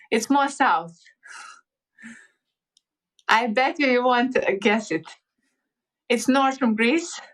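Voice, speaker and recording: A woman from Montenegro, chatting haltingly with viewers on a piano livestream, full of fillers and restarts. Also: on the high side, poor audio. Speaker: livestreaming pianist. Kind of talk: casual livestream chat reading comments.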